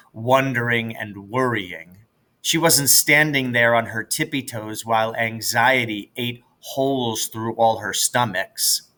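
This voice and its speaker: Man, middle pitch, bright forceful tone, teacher reading aloud, children's book narration. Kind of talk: scripted audiobook-style narration of a children's novel.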